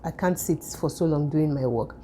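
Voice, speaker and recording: A woman talks to camera from home in a chatty, explanatory vlog style, often pausing on fillers and restarting her sentences. Her voice sits a little low and sounds mellow and warm, though it is still hoarse and croaky from a recent illness. A faint background hiss runs under her speech. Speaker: vlogger. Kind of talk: casual vlog chat.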